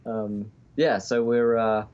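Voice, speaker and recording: A man's voice: fairly low pitch, little pitch movement, slow pace, darkish tone. A man with an English accent chatting casually as a podcast guest over a remote call. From England, just outside London. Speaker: podcast guest, Twitch D&D streamer. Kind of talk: casual interview chat. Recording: dull lo-fi call audio.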